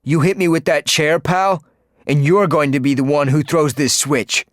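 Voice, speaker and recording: A man doing a gruff, threatening tough guy. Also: fairly high pitch, moderate pace, no room sound, clean studio sound, voice pushed up, lightly acted.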